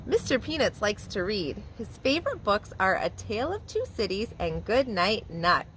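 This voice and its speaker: A woman preschool teacher reading a picture book aloud to young children in a warm, expressive storytelling manner. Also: mid-range voice, normal speed, slight background noise, low-quality recording.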